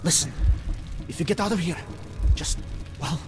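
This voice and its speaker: Man performing a bitter young rebel, high voice, impassioned, urgent, video game drama, clear music underneath. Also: normal speed, lightly acted. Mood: urgent, earnest. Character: bitter, defiant young male rebel, son of a general. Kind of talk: video game cutscene acting.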